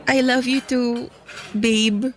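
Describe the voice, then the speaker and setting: An actress playing a playful, stubborn young woman in love in a Filipino radio romance, teasing and expressive. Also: on the high side, very slow, slight background noise. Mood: affectionate. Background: music.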